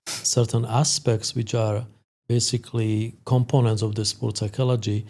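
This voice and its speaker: A man in his fifties with a Slovak accent, a psychologist explaining things as an interview guest in a halting, hesitant way. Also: fairly low pitch, level tone, darkish tone. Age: 50s. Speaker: sports psychologist, podcast guest.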